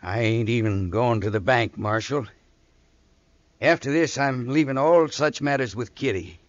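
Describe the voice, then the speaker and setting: A man's voice: medium pitch, unhurried, dry recording, lightly acted. An actor playing a plain-spoken, deferential American saloon man in a 1950s radio western. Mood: resigned. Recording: muffled old radio recording.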